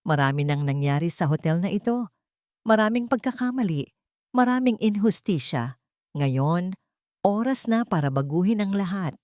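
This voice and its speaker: A woman plays an earnest billionaire lover from a romantic audio drama. He speaks low and solemnly, pleading with deep remorse, in a dry, close sound. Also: lively intonation, normal speed, a little mellow, dry recording, close to an everyday voice. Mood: solemn, resolute.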